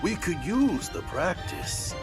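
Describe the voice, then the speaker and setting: A man playing a hearty, playful warrior in a fantasy video game, with a drawn-out, swaggering delivery. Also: high voice, big pitch swings, music in the background, full character voice. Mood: eager.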